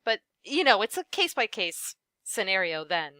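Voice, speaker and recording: A woman's voice: medium pitch, very animated, normal speed, bright, forceful tone, no room sound, clean studio sound. A young woman, a voice actress, chatting as an interview guest, laughing often and trailing off mid-thought. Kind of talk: casual interview chat.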